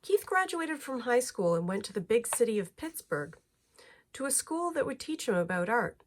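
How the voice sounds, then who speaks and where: A woman reading a children's picture book aloud in an expressive storytelling manner, phrasing clearly for young listeners. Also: a little high, moderate pace, a little hiss or hum.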